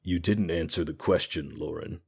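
A man voicing a cold, focused male constable who speaks in a measured way. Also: deep voice, steady tone.

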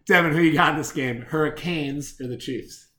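A man's voice: on the high side, normal speed, fairly bright tone. A man hosting a rugby picks show, giving commentary and predictions in an opinionated, slangy way.

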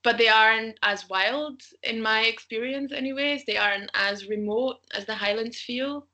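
A woman's voice: a little high, normal speed, bright, forceful tone. A young woman from Austria, a travel blogger, chatting casually as a podcast guest, laughing often and thinking aloud. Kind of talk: casual interview chat.

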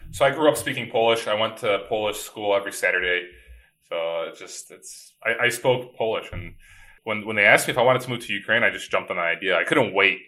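A man's voice: lowish voice, a little fast, sharp tone, poor audio. A man, a company founder telling his story in a casual, easygoing way, full of repeated words and "like". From Chicago, USA. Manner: easygoing, frequent word repeats and "like" fillers. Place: remote call from home.

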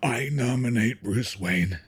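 A man playing a dying old company chairman and father in an audio drama, solemn and remorseful, with a husky, breathy voice and grave, formal speech. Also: medium pitch, slow. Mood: solemn resolve. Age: older.

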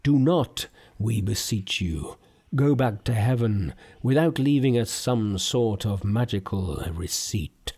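A man's voice: fairly low pitch, lively intonation, takes it slowly, a little mellow, husky, heavily acted. A man voicing awestruck male Taoist priests who plead fervently. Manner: fervent, beseeching.

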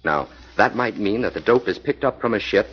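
An actor playing a confident crime-fighting hero who gives brisk orders, in a 1940s radio drama with a lo-fi sound. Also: male voice, lowish voice, lively intonation. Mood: commanding. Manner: brisk, commanding.

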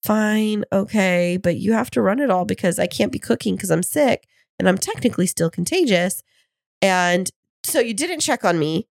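A woman co-hosting a podcast in casual chat, her voice hoarse and froggy from being sick, telling stories in a teasing, animated way. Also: medium pitch, moderate pace, no echo, clean studio sound. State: hoarse from illness. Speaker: podcast co-host. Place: home studio.

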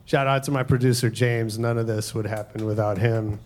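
A man's voice: lowish voice, fairly even intonation, moderate pace, very noisy. A man hosting a live podcast at a hotel event, casual and joking, with lots of ums and uhs. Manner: casual, filler-heavy, joking. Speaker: podcast host.

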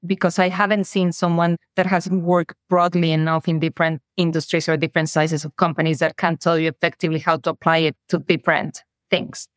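A woman's voice: lowish voice, no room sound. Mexican-accented woman telling her story. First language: Spanish. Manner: earnest, reflective storytelling. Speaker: guest, revenue operations director. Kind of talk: interview conversation.

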